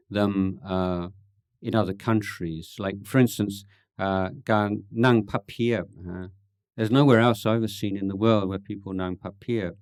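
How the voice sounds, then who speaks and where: A man, a Buddhist monk, answering questions at a meditation retreat in a thoughtful, halting way, with dry gentle humor and many pauses and ums. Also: fairly low pitch, no echo. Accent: English. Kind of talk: relaxed Dhamma Q&A talk.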